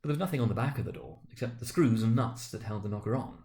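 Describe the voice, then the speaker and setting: A man giving a dramatic reading of a classic novel, expressive, swinging between loud emphasis and hushed, slowed passages on ominous words. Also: fairly low pitch. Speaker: school director reading aloud.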